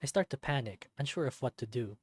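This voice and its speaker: A man's voice: mid-range voice, lively intonation, fast talker, darkish tone, husky, no echo. Man narrating a story, very dry sound. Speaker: narrator of a visual novel let's read. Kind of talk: expressive scripted story narration.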